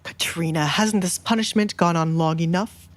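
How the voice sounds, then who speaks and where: A woman's voice: fairly low pitch, moderate pace, natural voice. Woman voicing a weary, calculating noble father. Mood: reproachful. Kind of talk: audiobook drama acting.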